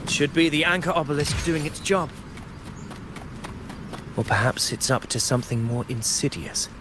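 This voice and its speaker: A man's voice: a little high, moderate pace, lightly acted. A man voicing a wary, cautious and analytical companion in a video game. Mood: suspicious. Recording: clear background ambient noise.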